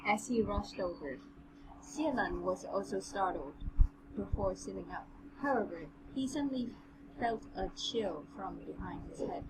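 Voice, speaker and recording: A woman co-hosting a reading podcast, speaking casually and a little haltingly as she chats and reads aloud. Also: mid-range voice, lively intonation. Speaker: podcast co-host and reader. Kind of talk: casual chat and amateur read-aloud. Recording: clearly noisy home recording.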